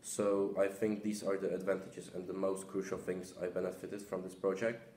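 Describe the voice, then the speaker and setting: A man's voice: deep voice, flat delivery, moderate pace, warm tone, a little hiss or hum. A young man, non-native in English, giving an exchange student's testimonial to camera. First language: not English. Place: small indoor office. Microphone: camera a short way off. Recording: echoey small office.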